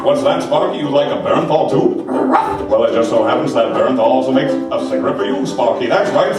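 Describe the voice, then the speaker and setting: A man playing a gleeful, cheesy old-time radio ad pitchman with exaggerated salesman enthusiasm. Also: fairly quick, over loud music, close to an everyday voice. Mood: cheery salesmanship. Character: cheesy, gleeful vintage male cigarette-ad pitchman. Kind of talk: parody of old radio advert. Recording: echoey, distant live room, background noise.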